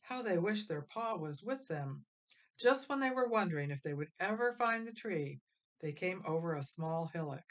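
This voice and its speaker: A woman reading a children's story aloud as expressive scripted narration. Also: lowish voice, normal speed. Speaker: storybook reader.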